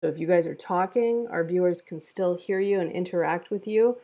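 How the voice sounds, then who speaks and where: A woman's voice: fairly low pitch, moderate pace, dark tone. A woman hosting a table read, speaking casually to the cast and stream viewers.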